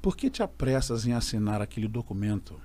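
A Brazilian man, a gospel singer guest, telling an animated story and acting out the voices of others. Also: on the low side, fairly quick. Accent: Brazilian Portuguese. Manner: expressive, acts out quoted voices.

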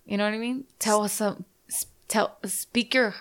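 A woman co-hosting a podcast in casual chat, blunt and quick to swear. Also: medium pitch, steady tone. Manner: blunt, swears freely, rambling. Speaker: podcast co-host.

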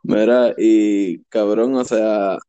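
A man's voice: on the low side, fairly even intonation, very slow, dark tone, clear, resonant tone, no echo, highs cut off. A twenty-one-year-old man with a Puerto Rican accent hosting a podcast, asking questions in easygoing slang and laughing often. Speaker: podcast host. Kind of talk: casual interview.

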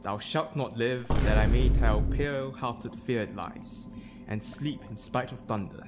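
A man's voice: medium pitch, unhurried, very noisy, natural voice. A man acting a Shakespearean king, ambitious, fearful and vengeful, in a theatrical, declamatory dramatic reading. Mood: defiant. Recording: loud ominous music behind the voice. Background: ominous music.

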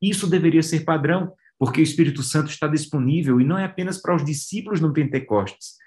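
A man's voice: medium pitch, a little mellow. A man preaching a sermon to listeners, pressing his points with rising emphasis. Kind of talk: radio sermon.

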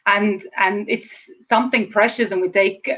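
Woman in her 40s, Estonian accent, measured and reflective, slightly muffled lo-fi audio. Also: medium pitch, steady tone, a bit bright. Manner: measured, reflective, slows to stress key words. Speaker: guest, speaker and trainer. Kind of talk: casual interview chat. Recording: slightly dull lo-fi sound.

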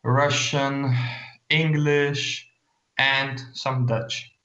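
A man's voice: medium pitch, level tone, extremely slow, pushed and bright, roomy sound, highs cut off. A man from Lithuania with a Lithuanian accent, a radio guest speaking English hesitantly and searching for words. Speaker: interview guest.

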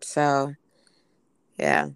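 A woman co-hosting a casual podcast, hoarse from a recent illness, chatting with lots of "um"s and "you know"s. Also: very deep voice, sing-song, extremely slow, no room sound. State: hoarse from being sick. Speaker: podcast co-host.

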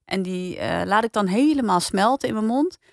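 A woman's voice: medium pitch, animated, moderate pace, creaky, no room sound. A woman hosting a podcast in a casual, conversational studio style. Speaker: podcast review host.

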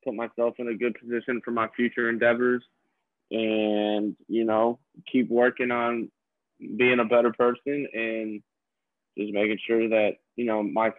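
A man's voice: medium pitch, level tone, a little slow, no echo. Brief: young male student co-host, laid-back and filler-heavy, thin phone-like sound. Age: young adult. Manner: laid-back, full of "you know". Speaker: podcast co-host. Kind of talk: casual chat.

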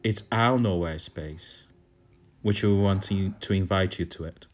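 A man from Brazil, a dance artist, narrating a calm guided walk with soft music behind. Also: sits low, a little slow, slight background noise.

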